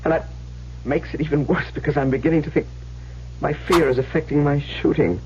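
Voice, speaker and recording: An actor playing an anxious, earnest young huntsman in love, with halting, theatrical delivery in a radio drama. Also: a little high, fairly even intonation, lightly acted. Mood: troubled, pleading. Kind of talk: old-time radio drama acting. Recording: muffled, lightly noisy old radio broadcast.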